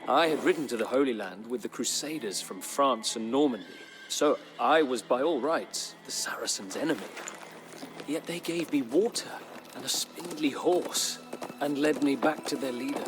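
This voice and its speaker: An actor playing a weary captive Norman knight who chronicles his captivity in solemn, measured storybook narration for a strategy game. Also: mid-range voice, natural voice, rough recording. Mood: reflective. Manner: solemn, measured, literary delivery with dramatic pauses. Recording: studio narration over clear background music.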